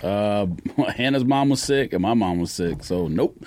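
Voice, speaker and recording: A man co-hosting a podcast in casual, slangy banter, teasing and quick to contradict. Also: on the low side, animated, darkish tone, a little hiss or hum. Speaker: podcast co-host.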